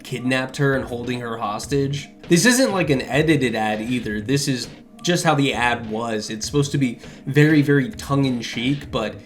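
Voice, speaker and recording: A man's voice: mid-range voice, normal speed, faint music behind. A man delivers casual video essay commentary in an enthusiastic, conversational way. His phrasing is loose and informal, peppered with filler words, like a reviewer sharing what he enjoys.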